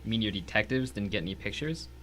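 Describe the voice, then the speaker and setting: A man's voice: fairly low pitch, lively intonation, fast talker, a bit bright. A man acting a guarded, stubborn ex-convict tennis pro in a story drama, terse and defiant. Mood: defiant, wary. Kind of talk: dramatic audio reading of a short story.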